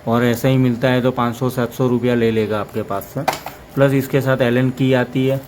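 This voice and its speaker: A man talks through a gadget demo in a flat, even tone, rambling from point to point. His voice is mid-pitched and sits over faint background ambience. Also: normal speed, noisy background. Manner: rambling, repeats "plus" between points. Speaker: motorcycle vlogger. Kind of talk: casual product demo.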